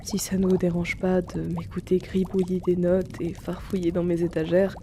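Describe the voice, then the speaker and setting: A performer voicing a gentle, courteous male herbalist in an ASMR roleplay, speaking softly with formal politeness and slipping into whispers. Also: medium pitch, completely flat delivery, moderate pace, slight background noise, lightly acted. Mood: gentle, caring. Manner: soft-spoken, courteous, formal address.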